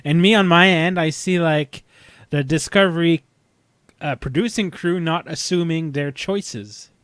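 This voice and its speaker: Male podcast host, 30s. Slightly high-pitched, dry close sound, rambling casual recap delivery with halting phrasing. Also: a bit bright, no room sound. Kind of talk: casual fan discussion, episode recap.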